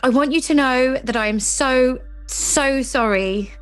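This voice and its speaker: An actress playing a wry, ambitious young advertising professional with a sarcastic edge in an audio drama. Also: female voice, high voice, unhurried, faint music behind, low-quality recording. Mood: remorseful, grave. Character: sardonic, ambitious young career woman in advertising.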